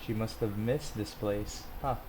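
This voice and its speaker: A woman's voice: very deep voice, unhurried, background noise. A woman doing casual game commentary as a streamer, reacting and chatting back to the characters. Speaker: gaming streamer. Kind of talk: casual game playthrough commentary, reading dialogue aloud.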